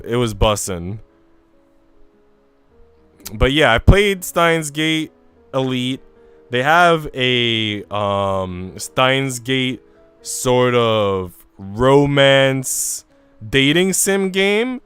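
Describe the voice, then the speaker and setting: A man's voice: mid-range voice, fairly bright tone, clean tone. A man streaming a visual novel, switching between casual, slangy chat and reading the story aloud. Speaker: game streamer.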